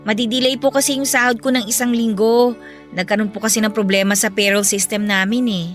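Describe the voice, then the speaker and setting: Actress as a high-voiced, pleading young Filipino daughter. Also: female voice, steady tone, fairly quick, faint music behind, natural voice. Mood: careful, apologetic. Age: young adult. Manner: respectful, pleading. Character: dutiful, hurt young working daughter pleading with her mother. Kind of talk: emotional radio drama acting.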